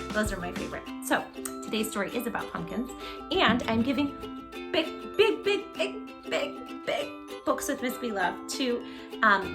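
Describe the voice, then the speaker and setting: A woman hosting a children's storytime, speaking in an animated, gushing way straight to young listeners as she chats and reads aloud. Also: mid-range voice. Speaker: children's storytime host and creator of the channel. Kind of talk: children's read-aloud and direct-to-viewer chat.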